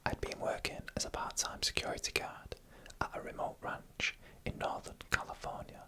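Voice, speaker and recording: A narrator whispering eerie first-person stories in ASMR style, in a hushed, deliberate, suspenseful manner. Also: rough recording.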